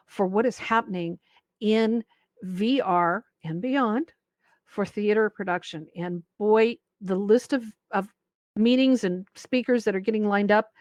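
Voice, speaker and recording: A woman's voice: mid-range voice, no room sound. A woman hosting an event, introducing a panel to an audience in an enthusiastic, emphatic way. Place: live virtual reality event, voice picked up close on a mic.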